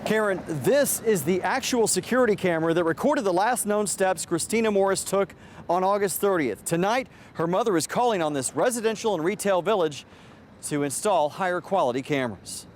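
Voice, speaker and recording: A man's voice: high-pitched, lively intonation, fairly quick, slight background noise. An American man giving a live television news report as a field reporter, outdoors.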